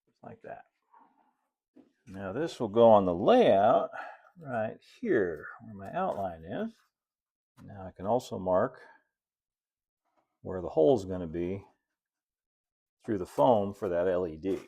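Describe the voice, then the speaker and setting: A middle-aged American man giving a casual hands-on tutorial, unhurried and folksy, thinking aloud as he works. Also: fairly low pitch, sing-song, vocal fry, dark tone. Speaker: host, model railroad builder. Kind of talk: casual hands-on tutorial talk while working. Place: indoor hobby workshop at a cluttered workbench and layout.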